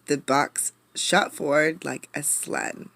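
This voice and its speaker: A woman reading a picture book aloud with dramatic, emphatic delivery, pausing to put questions to listeners. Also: sits low, steady tone, vocal fry, a bit bright, dry recording. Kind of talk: expressive storybook read-aloud with questions to listeners.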